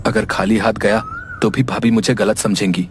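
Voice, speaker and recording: A man playing an earnest, touchy young villager looking for work in an animated story, plain-spoken in manner. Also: fairly low pitch, moderate pace, natural voice. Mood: curious. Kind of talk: Hindi animated story dub.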